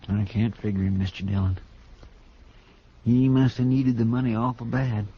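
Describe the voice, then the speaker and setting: An actor playing an anxious, deferential marshal's sidekick in a 1950s radio western. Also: male voice, medium pitch, steady tone, husky. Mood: uneasy. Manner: folksy, drawn-out country phrasing. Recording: muffled noisy 1950s radio recording.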